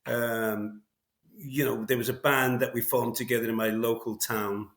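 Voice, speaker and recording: A man's voice: medium pitch, flat delivery, unhurried, a bit bright. Welsh man, rambling in a roomy space. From Wales. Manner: rambling, frequent fillers and restarts. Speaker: guest, veteran drummer. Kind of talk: casual interview conversation.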